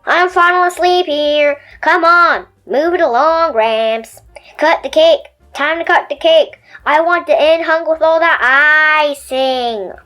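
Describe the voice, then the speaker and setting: A woman's voice: high-pitched, takes it slowly, sharp tone, faint music behind, voice pushed up, heavily acted. Woman voicing a brash, pushy talking sweet tooth. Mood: impatient. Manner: brash, wisecracking, nagging. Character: loud demanding male sweet tooth, pushy.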